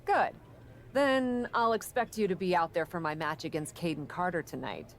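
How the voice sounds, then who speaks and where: A woman's voice: on the low side, expressive, moderate pace, slight background noise. A woman playing a brutal, defensive female pro wrestler with dry wit in a video game cutscene. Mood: cool, businesslike.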